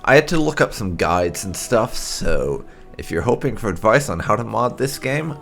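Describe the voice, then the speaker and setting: Male gaming host, gravelly and unhurried, casual intro commentary with faint music behind. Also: on the low side, big pitch swings, fairly bright tone.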